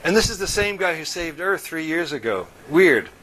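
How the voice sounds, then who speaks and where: A man's voice: a little high, sing-song, normal speed, fairly bright tone, pitched above the performer's own voice, rough recording. A man reading as a breezy, gossiping husband. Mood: gossipy. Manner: breezy, gossiping, forced chuckles. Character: chatty joking husband, gossipy.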